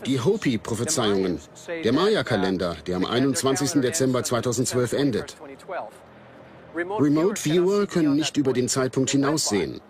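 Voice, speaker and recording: A man's voice: mid-range voice, expressive, normal speed, a crowd in the background, close to an everyday voice. A man explaining as an interviewed expert in a scripted documentary voice-over.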